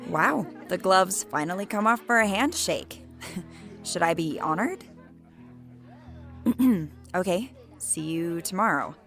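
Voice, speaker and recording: A woman's voice: lowish voice, animated, slow, vocal fry, long pauses, fairly bright tone, full character voice. A woman playing a guarded, sarcastic young woman in a naturalistic audio drama, speaking dryly and a little hesitantly. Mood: wry. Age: young adult. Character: wry, guarded young woman grieving her uncle. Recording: music bed behind the voice.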